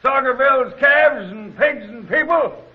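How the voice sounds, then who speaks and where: A rustic, agitated farmer with a very high-pitched male voice, folksy and emphatic. It is vintage radio drama with a thin, phone-like sound. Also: lively intonation, measured pace, heavily acted. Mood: agitated, complaining. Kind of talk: 1940s radio drama acting. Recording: thin, narrow old radio recording.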